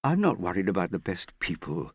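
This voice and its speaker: A man's voice: on the low side, animated, normal speed, darkish tone, no echo, studio quality, voice pushed up. A man voicing an earnest, worried and stubborn young woman in an audiobook, serious and insistent in manner. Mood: irritated. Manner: serious, plain-spoken, insistent. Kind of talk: audiobook character dialogue.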